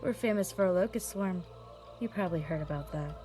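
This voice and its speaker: A woman playing a young, unrepentant wind mage killer in a fantasy audio drama, measured, resigned and quietly menacing. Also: fairly low pitch, natural voice. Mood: calm, unrepentant. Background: music.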